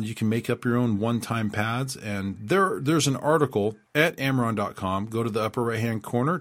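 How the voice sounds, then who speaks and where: A man hosting a radio show, talking informally in an earnest, explanatory way. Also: fairly low pitch. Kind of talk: informal instructional monologue.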